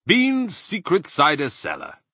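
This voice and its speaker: A man's voice: on the high side, huge pitch swings, measured pace, pushed and bright, breathy edge, no room sound, clean studio sound, pitched above the performer's own voice, exaggerated voice. A man voicing a clever, proud male fox father in a storybook reading, fatherly and pleased.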